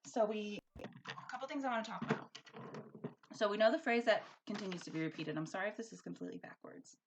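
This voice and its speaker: A woman's voice: mid-range voice, fast talker, creaky, noisy background. A woman teacher speaking expressively and emphatically, as in a storybook read-aloud for a school lesson. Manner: animated, emphatic.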